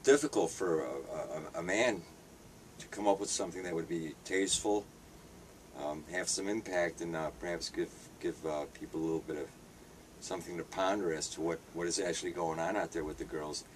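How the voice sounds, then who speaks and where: A man's voice: sits low, fairly quick. A man in his fifties from Hungary, answering interview questions hesitantly, with many fillers and restarts. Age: 50s. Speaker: street paper vendor and artist. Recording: distant mic with faint background noise.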